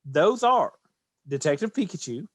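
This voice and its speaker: Casual male podcast co-host, higher-set voice. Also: very animated, unhurried, no room sound, clean studio sound.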